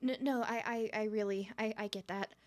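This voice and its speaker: A woman's voice: mid-range voice, steady tone, moderate pace, close to an everyday voice. A woman playing a nervous, smitten young woman on a first date in an ASMR roleplay, stuttering and rambling with flustered giggles.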